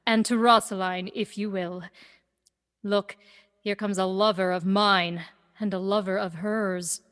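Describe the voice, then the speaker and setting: A woman playing a self-assured young woman disguised as a youth in a Shakespearean radio play, brisk and declamatory. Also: mid-range voice, fairly even intonation, a bit bright, lightly acted. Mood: confident, purposeful. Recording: long echoing reverb.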